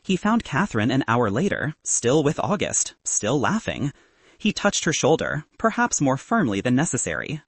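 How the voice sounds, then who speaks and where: Male narrator for literary fiction audiobook: animated pitch movement, slightly husky tone, dry close sound. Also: moderate pace, dry recording.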